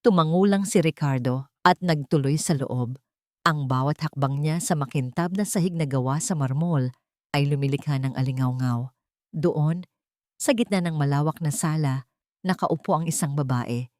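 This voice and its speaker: A woman with a Filipino accent reading a dramatic story as an audiobook narrator, solemn and expressive with deliberate pauses. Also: low-pitched, no echo, clean studio sound.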